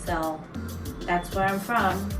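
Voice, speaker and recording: A woman in her twenties chats her way through a couple's Q&A, rambling with lots of "um" and "like." Her mid-pitched voice sits at a distance from the mic. The room echoes, and music plays underneath. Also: steady tone, takes it slowly. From New York and Florida, USA. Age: 20s. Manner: chatty, rambling with many fillers. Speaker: vlog co-host. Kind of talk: casual Q&A chat.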